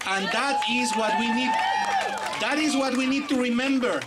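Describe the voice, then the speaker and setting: A man from Peru, a native Aymara speaker with a Peruvian accent, giving a reflective public speech as an academic guest, pausing mid-phrase. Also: extremely high pitch, a loud crowd. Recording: faint outdoor event noise.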